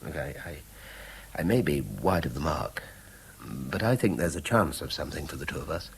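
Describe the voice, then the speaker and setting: An actor playing a conscientious, wry middle-aged schoolteacher with an English accent and educated, hesitant phrasing in a 1970s radio drama. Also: male voice, rumbling low, expressive, normal speed, gravelly, husky, heavily acted. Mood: tentative, tender. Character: conscientious male schoolteacher, wry, guarded, hesitant but firm.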